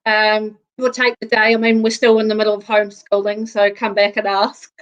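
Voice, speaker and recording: A woman's voice: medium pitch, flat delivery, normal speed, clean tone, dull audio. A woman in her thirties from New Zealand with a New Zealand accent, guest on a live interview show, talking earnestly and laughing between phrases.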